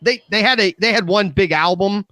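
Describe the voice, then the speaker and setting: Animated, high-pitched American man in his fifties. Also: moderate pace, no echo. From Midwest United States. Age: 50s. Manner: animated, opinionated. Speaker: talk show host. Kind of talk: casual round-table chat.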